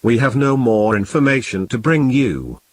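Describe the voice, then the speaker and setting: A man playing a calm, grave emergency broadcast announcer, reading in a formal, measured and solemn way. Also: medium pitch, dry recording, lightly acted. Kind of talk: scripted emergency broadcast narration.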